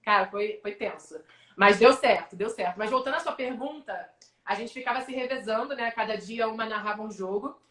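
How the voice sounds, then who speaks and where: A young adult Brazilian woman, a sports announcer, telling animated stories in a casual live-stream interview. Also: on the high side, roomy sound. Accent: Brazilian Portuguese. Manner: animated storyteller, quick asides. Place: home, online video call.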